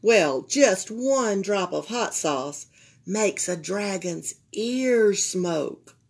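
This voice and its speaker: An older woman with a Southern US accent and a slightly raspy voice reads a children's picture book aloud as a library storyteller, expressively, pausing to comment to young listeners. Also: medium pitch, animated. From Alabama, United States. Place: indoors at a library table.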